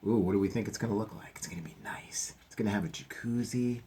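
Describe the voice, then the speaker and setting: A man's voice: lowish voice, quick pace, dark tone, a little hiss or hum. A male teacher reading a picture book aloud to children, stopping for chatty asides and sometimes dropping to a whisper.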